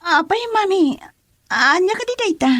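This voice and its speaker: A woman's voice: very high voice, expressive, a little slow, a bit bright, heavily acted. A woman playing a sweet, coy young university student in an Ilocano radio romance, with a hesitant manner. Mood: nervous.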